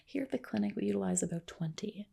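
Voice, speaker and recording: Woman, breathy ASMR whisper, soft mellow tone, creaky edges, gentle reassuring clinician roleplay. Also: medium pitch, fast talker. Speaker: ASMR performer playing a medical spa clinician.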